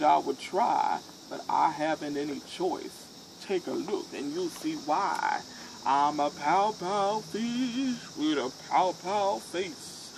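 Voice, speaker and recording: A woman voicing a gloomy male fish in a picture book, chanting slowly and heavily. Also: mid-range voice, noisy background. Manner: slow, sing-song chanting refrain.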